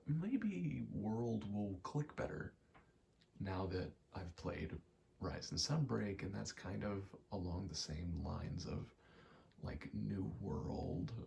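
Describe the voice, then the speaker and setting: A soft-spoken man rambling casually in ASMR style, meandering and murmuring, often dropping toward a whisper. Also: sits low, creaky. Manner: meandering, self-correcting, casually sweary. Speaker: video maker rambling over gameplay. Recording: faint background noise.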